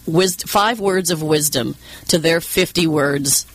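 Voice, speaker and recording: A woman with a Southern accent hosting an interview, chatty and animated, often laughing and echoing her guest's words. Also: low-pitched, slow, breathy edge. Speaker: host. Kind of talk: conversational interview.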